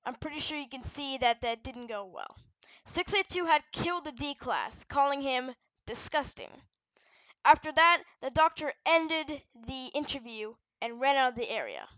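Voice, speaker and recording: A woman's voice: high-pitched, sing-song, moderate pace, sharp tone, dry recording, low-quality recording. A woman narrating a research log in a matter-of-fact, explanatory way, partly scripted and now and then correcting herself. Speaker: narrator presenting a fictional research audio log.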